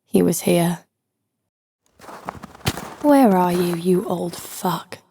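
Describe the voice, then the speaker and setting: A woman's voice: fairly low pitch, expressive, extremely slow, vocal fry, dark tone, slight background noise, exaggerated voice. A woman playing a tough, sardonic knife fighter who tells her own story in an audio drama. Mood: defiant. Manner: dry, sardonic. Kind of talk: scripted first-person audio drama narration. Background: music.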